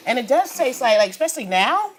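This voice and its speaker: A woman's voice: high voice, big pitch swings, fast, over a noisy crowd. A woman speaks as the host of a small talk show. She is chatty and encouraging, with a conversational way of asking questions and affirming what she hears. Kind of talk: conversational small business interview. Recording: small studio audience present.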